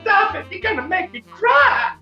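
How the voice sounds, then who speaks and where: Gushing American club chanteuse, high and bright, cooing melodrama, 1940s radio comedy. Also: female voice, sing-song, normal speed, reverberant, dull audio, soft background music, full character voice. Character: gushing, theatrical young female nightclub singer, gangster's fiancée.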